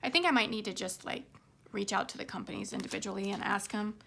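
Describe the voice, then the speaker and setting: A woman hosting a craft vlog, rambling casually to her viewers, her voice a little hoarse. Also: medium pitch, fast talker, sharp tone, slight background noise. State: slightly hoarse.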